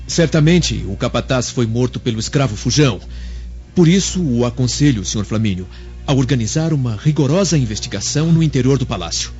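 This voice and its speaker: A man's voice: medium pitch, expressive, fairly quick, a little mellow, natural voice. A man playing a sly young slave in a radio drama, deferential and insinuating. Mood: sly insinuation. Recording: dull lo-fi, music behind.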